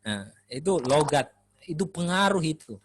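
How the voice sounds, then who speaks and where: A man giving an Islamic studies lecture in a relaxed, conversational way, often pausing with "eh" while he thinks. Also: a little high, slight background noise. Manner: relaxed, conversational, frequent "eh" pauses. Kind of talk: classroom lecture.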